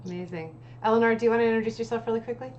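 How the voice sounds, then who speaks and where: A woman's voice: on the high side, very fast, lo-fi sound, a little hiss or hum. A woman co-hosting and moderating a streamed artist salon over a video call, with many fillers. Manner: friendly, filler-heavy moderator. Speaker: co-host.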